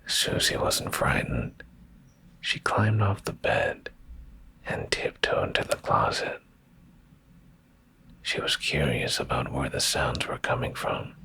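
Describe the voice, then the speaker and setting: A man reading a bedtime story in ASMR style, hushed and deliberate with pauses, in a breathy, gravelly low whisper close to the microphone. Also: big pitch swings.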